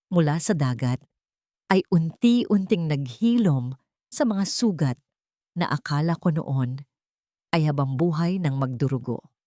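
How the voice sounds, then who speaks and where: A woman's voice: deep voice, a little mellow, no room sound, studio quality. A woman narrating a scripted first-person dramatic story as an audiobook narrator.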